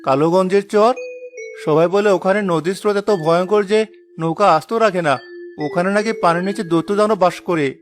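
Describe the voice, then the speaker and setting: A man's voice: high-pitched, brisk, faint music behind, close to an everyday voice. A man voicing a poor, honest young fisherman in a cartoon, weary and plaintive, with an earnest manner. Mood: doubtful. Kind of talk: dramatised cartoon dialogue.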